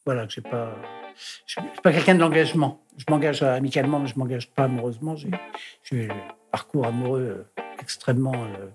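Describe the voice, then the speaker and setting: A man in his sixties giving a candid interview as an artist, speaking frankly and reflectively and often trailing off into pauses. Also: mid-range voice, music in the background. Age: 60s.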